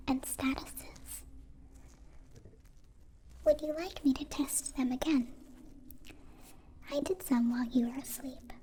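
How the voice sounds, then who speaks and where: A woman voicing a gentle, curious, naive alien in a soft whispered ASMR roleplay, with halting, formal, literal phrasing. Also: high voice, a little hiss or hum. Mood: soothing, clinical. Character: gentle, curious, naive captive-born alien, newly befriended.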